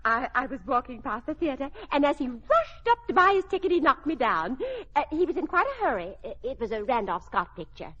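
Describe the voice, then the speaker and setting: A woman's voice: sits high, very animated, a little fast, pushed and bright, full character voice. A woman playing a fluttery, girlish older mother in a radio sitcom, hesitant and dithering as she speaks. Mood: flustered, dreamy. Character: fluttery, girlish older mother giddy over her new fiancé. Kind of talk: old-time radio situation comedy acting. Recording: dull old radio recording, studio audience.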